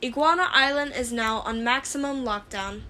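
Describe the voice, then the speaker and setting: A woman's voice: fairly high pitch, moderate pace, pushed and bright. A woman voicing a formal automated warning announcement in a fan fiction audiobook. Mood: urgent, formal.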